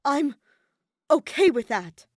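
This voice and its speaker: A woman's voice: high-pitched, big pitch swings, slow, a little mellow, dry recording, pitched above the performer's own voice, full character voice. A woman voicing a stubborn, weary teenage boy in a dramatic audiobook reading, earnest and incredulous. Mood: incredulous, defiant. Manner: earnest, protesting, dry.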